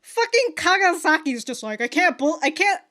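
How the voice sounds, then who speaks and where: Woman with a highish voice doing reaction vid commentary, super casual, lots of slang and cussing. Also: big pitch swings, normal speed, pushed and bright. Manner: slangy, swearing, exclamatory. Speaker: reaction video host. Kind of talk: casual reaction commentary to an anime, reading subtitles aloud.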